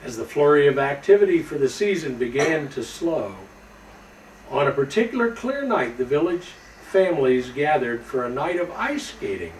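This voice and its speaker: A man reading a children's story aloud in an expressive storytelling style. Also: fairly high pitch, normal speed. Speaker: storybook reader. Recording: echoey room, distant mic, faint noise.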